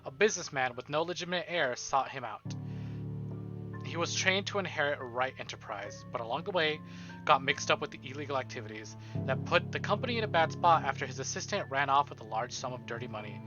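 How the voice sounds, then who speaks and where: A man streaming a visual novel, reading text aloud and commenting with free swearing in an animated manner. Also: mid-range voice, brisk, dull audio. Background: game music.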